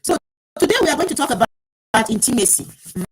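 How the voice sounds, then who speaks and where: A woman's voice: on the high side, very animated, fast, slightly rough. A woman hosting a live online program through an earpiece mic. She talks her way through the technical trouble, with lots of fillers and restarts. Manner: flustered, troubleshooting aloud, many fillers and restarts. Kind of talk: live online talk show.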